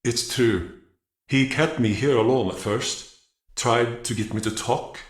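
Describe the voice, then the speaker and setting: A man playing an earnest, remorseful captive in a video game, speaking with a halting, dramatic manner. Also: mid-range voice, lightly acted. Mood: fearful, remorseful. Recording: roomy, echoing space.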